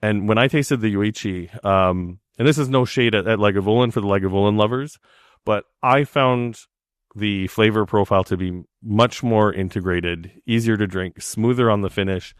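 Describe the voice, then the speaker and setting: A man's voice: on the low side, normal speed, no room sound, clean studio sound. A man in his forties co-hosting a podcast, telling stories in a chatty way with frequent ums. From Canada. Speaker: podcast co-host. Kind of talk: casual chat.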